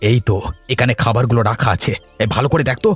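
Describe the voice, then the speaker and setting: Man as a sly, fast-talking village saboteur. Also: mid-range voice, husky, faint music behind, natural voice. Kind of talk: cartoon voice acting.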